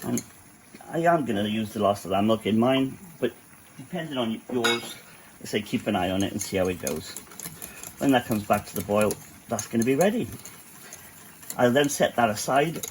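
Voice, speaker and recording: A man's voice: lowish voice, lively intonation, moderate pace, a little hiss or hum, low-quality recording. A man with a Welsh accent who runs a cafe, talking viewers through a cooking tutorial at his home kitchen in a chatty way, with joking asides. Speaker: cafe cook.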